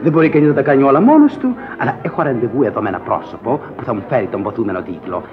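A man's voice: mid-range voice, sing-song, lightly acted. A man acting in a radio drama as a pompous, scornful company president with a declamatory delivery. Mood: pompous, self-assured. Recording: muffled archive radio recording with music.

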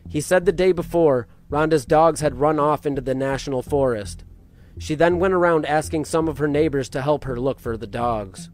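A man narrating a true-crime case in a steady, matter-of-fact way. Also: fairly high pitch.

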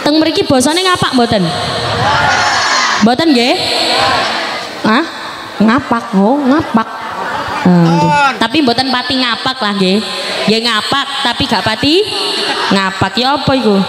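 A woman's voice: fairly high pitch, expressive, unhurried, long pauses, heavy background noise. A young woman preaching into a handheld mic on an outdoor stage, chatting with the crowd and asking them questions. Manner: chatty, asks the audience questions. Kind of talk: lively sermon to a village crowd. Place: outdoor tented stage before a large crowd. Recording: echoey PA sound with long reverb and music.

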